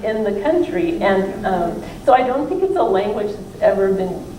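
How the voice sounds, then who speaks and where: A woman's voice: medium pitch, big pitch swings, moderate pace, crowd noise. A woman, a professor, talks informally and discursively about her book during a question-and-answer discussion, often qualifying her own points. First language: not French (non-native French speaker). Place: library event room, recorded from a distance. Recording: roomy, distant mic with faint room noise.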